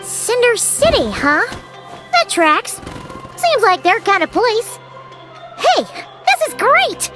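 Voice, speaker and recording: A woman voicing a small, perky, chatty fairy girl companion in a video game, with a squeaky childlike voice and exclamatory manner. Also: sing-song, slow pace, long pauses, sharp tone, soft background music, full character voice. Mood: excited. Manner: exclamatory, speaks of herself in third person. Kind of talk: animated video game character acting.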